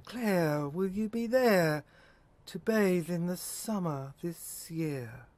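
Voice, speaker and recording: A man playing a haunted, feverish visionary in an audio drama, delivering a poetic monologue in halting, repeated fragments. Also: high-pitched, animated, a little mellow, no room sound. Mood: dreamy, wistful. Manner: halting, repeats words, fragmented incantatory phrasing. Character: haunted, feverish male visionary recalling a lost woman.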